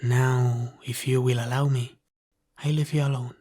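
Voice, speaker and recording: A man playing a polite, caring dragon butler in an ASMR roleplay, speaking formally, gently and deferentially. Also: mid-range voice, level tone, measured pace, natural voice. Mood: courteous, attentive. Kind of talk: ASMR roleplay, soft scripted character acting.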